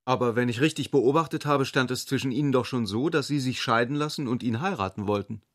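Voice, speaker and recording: An actor playing a cool, ironic gentleman in a radio drama, measured and gently probing in manner. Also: mid-range voice, dry recording, clean studio sound, lightly acted.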